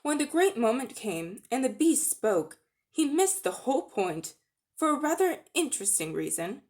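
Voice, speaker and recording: A woman narrating a children's story as a dramatic reading, with expressive storytelling delivery. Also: high voice, normal speed. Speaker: audiobook-style narrator.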